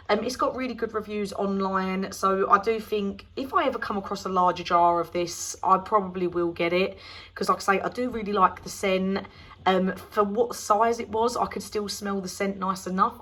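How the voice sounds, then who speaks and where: A woman from the UK with a British accent, chatting casually through a product review, her voice slightly croaky after laryngitis. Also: medium pitch, a bit bright. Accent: British English. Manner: chatty, rambling with many ums. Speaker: candle reviewer.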